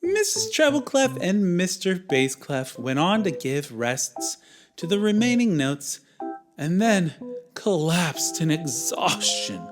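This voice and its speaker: A man's voice: sits high, very animated, slow. A man who teaches music, narrating a story for young children.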